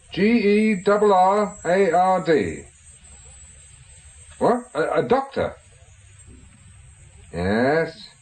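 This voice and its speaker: A man acting a shrewd, stubborn amateur sleuth in a British radio drama, questioning bluntly in a husky voice. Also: sits high, exaggerated voice, rough recording. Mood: brisk, cheerful. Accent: British English.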